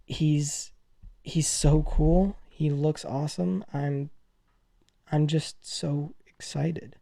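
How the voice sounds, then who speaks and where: A man giving casual gaming commentary to viewers in an excitable, gushing manner, as the host of a news video. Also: on the high side, very slow, creaky, no echo, clean studio sound.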